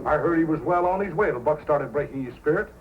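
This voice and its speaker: A man's voice: fairly high pitch, expressive, quick pace, lightly acted. An actor playing a spirited, principled Yukon dog man in an old-time radio drama, plain-spoken and challenging. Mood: determined. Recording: muffled old radio recording, faint noise.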